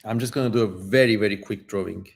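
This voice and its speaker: A man's voice: fairly low pitch, fast talker, gravelly, breathy edge. A man hosting a livestream, chatting casually and informally with some swearing as he comments. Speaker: livestream host.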